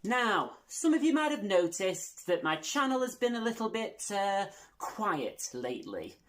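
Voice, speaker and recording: A woman's voice: medium pitch, sing-song, a little slow. A woman hosting a video channel, making a casual announcement to viewers with tongue-in-cheek, self-deprecating humour.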